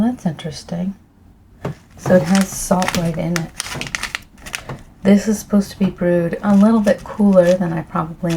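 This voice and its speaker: A slow, soft-spoken middle-aged woman with a mellow, slightly low voice, croaky and often clearing her throat. She rambles gently with fillers as she tastes tea at home. A faint hiss sits under the recording. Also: rough recording. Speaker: ASMR tea reviewer.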